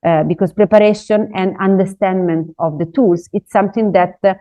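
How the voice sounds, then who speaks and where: A woman giving a business webinar presentation in non-native English, pausing often with "uh" fillers. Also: medium pitch, fairly even intonation, warm tone. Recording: dull lo-fi webinar audio.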